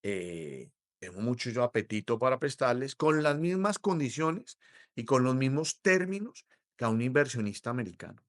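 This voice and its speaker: A middle-aged Colombian man with a Colombian accent, explaining mortgages as an interview guest in a measured way, with many hesitations. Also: medium pitch, very animated, no echo, clean studio sound. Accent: Colombian Spanish. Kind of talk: interview conversation.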